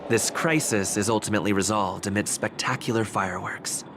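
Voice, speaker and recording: Guy doing a game story narrator, lowish voice, formal and measured, epic recap, lots of noise behind him. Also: lightly acted. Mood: measured, dramatic. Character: composed male storyteller recapping an epic tale.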